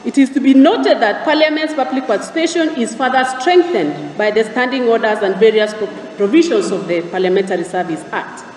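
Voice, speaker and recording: A woman with a Kenyan accent and a fairly high voice addresses a formal forum. She speaks in a measured, orderly way, as if from a prepared text. The sound is distant and very reverberant, with some faint background noise. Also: darkish tone. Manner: measured, formal, enumerating points. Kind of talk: formal prepared public speech.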